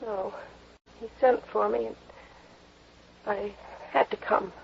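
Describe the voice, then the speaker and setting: An actress playing a dazed, frightened young woman in a 1950s radio drama, halting and trailing off, on a dull old broadcast recording. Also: medium pitch, fairly even intonation, creaky, background noise. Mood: dazed, shaken.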